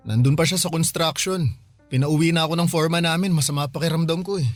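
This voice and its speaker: Casting: actor as weary young Filipino dad, slightly high male voice, mild, unwell, dry radio drama sound. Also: quick pace, dry recording, close to an everyday voice. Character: tired, sickly young husband and father, mild-tempered. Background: faint music.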